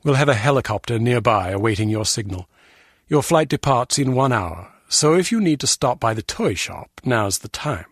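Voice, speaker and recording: A critical, dour intelligence official in a video game. He delivers briefings in clipped, formal phrases. The voice is medium in pitch and rough-edged, with a dry studio sound. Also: animated, moderate pace, no room sound. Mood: businesslike. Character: dour, critical male British intelligence bureaucrat.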